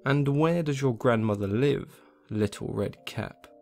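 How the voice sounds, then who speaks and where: A man voicing a crafty, hungry wolf who speaks in a slow, coaxing drawl. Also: mid-range voice, creaky, darkish tone, faint music behind. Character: sly hungry male wolf, crafty and smooth.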